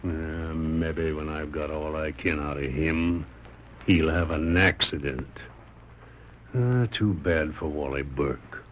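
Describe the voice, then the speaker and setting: An actor playing a crooked, hot-tempered Western sheriff with folksy rural speech in an old radio drama. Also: male voice, extremely low pitch, slow, lo-fi sound, slight background noise. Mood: sly, scheming. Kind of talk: 1940s radio western acting.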